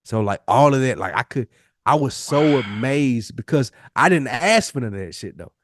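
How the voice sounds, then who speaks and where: A man's voice: mid-range voice, expressive, normal speed, dry recording. Male, forties, podcast co-host. Casual, profane storytelling with slang and repetition, recorded dry and close. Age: 40s. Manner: slangy, profane, repeats phrases for emphasis. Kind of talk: casual chat, personal storytelling.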